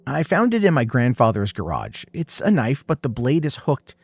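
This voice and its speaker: A man's voice: medium pitch, wildly swooping pitch, normal speed, creaky, slightly rough, faint music behind, exaggerated voice. A man playing a wary drifter who bluffs in a casual, coaxing way, in an audio horror story. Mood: hesitant, curious. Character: wary male drifter bluffing, eager for answers.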